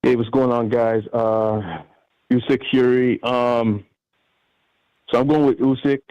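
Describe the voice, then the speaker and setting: A man's voice: medium pitch, fairly even intonation, slow, smooth, no room sound. A man calling into a boxing show, hesitant and full of ums. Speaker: caller. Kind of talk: call-in prediction. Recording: dull lo-fi call audio.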